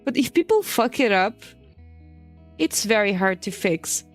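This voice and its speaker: A woman's voice: medium pitch, expressive, a little slow, faint music behind. A woman in her twenties, a native Portuguese speaker, chatting casually on a stream while she draws, swearing and laughing often. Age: 20s. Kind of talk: casual chat while drawing.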